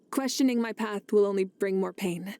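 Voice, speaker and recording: A woman voicing a composed, confident warrior goddess in a video game, speaking in a measured, earnest and self-assured way. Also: medium pitch, dark tone, natural voice. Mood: solemn, resolute. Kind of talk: scripted video game character voice lines.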